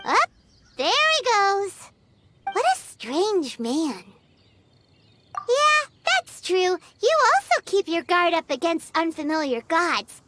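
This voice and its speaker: A woman voicing a tiny, excitable, childlike fairy companion in a video game, chatty in manner. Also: very high voice, slow, slight background noise, heavily acted.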